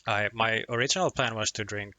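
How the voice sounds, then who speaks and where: A man's voice: lowish voice, steady tone, fairly quick, sharp tone, dry recording. A young man from Finland with a Finnish accent and Finnish as his first language, chatting casually on a poker live stream, rambling and self-deprecating with lots of restarts and chuckles.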